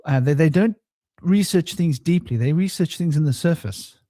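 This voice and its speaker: A man hosting a casual spiritual round-table chat, rambling and relaxed, with a somewhat droning voice and many fillers. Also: a little high, moderate pace, no echo, studio quality. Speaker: host. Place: home studio.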